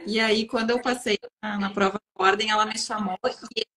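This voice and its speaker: A woman's voice: mid-range voice, steady tone, fairly quick, pushed and bright. A woman lawyer, talking earnestly on a video call and often ending with a questioning tag. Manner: earnest, tags questions with "sabe". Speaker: lawyer guest. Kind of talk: casual live-stream talk.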